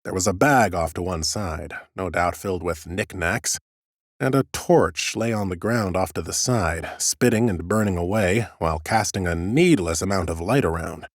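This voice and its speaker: A man narrating an audiobook in the first person as an ancient undead scholar, a fussy, smug, whimsical gentleman with a theatrical, drily humorous delivery. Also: sits low, normal speed, no room sound, clean studio sound. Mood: dry, observant.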